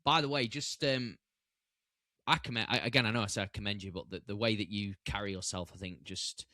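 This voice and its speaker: A male podcast host with a fairly low voice and a bright, forceful tone asks questions casually and stumbles through restarts and fillers. The recording is dry and close. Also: fairly even intonation, fairly quick, dry recording. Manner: warm, hesitant, with many restarts and fillers. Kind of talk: casual interview chat.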